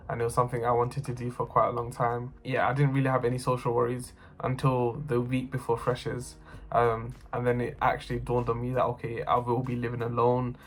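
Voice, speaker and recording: Young man, student, steady monotone testimony, faint music under it. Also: mid-range voice. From North London. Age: young adult. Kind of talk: personal testimony to camera.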